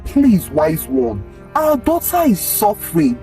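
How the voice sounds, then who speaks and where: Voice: medium pitch, wildly swooping pitch, slow, warm tone, far from the mic, faint music behind. A storyteller voicing a worried, exasperated father, urgent and reproachful. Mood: pleading.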